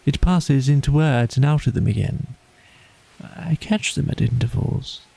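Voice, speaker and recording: A man's voice: medium pitch, measured pace, creaky, dark tone, slightly rough, pitched above the performer's own voice, heavily acted. A man voicing a dreamy, languid, poetic male water rat in a storybook, with a murmuring, rapt manner.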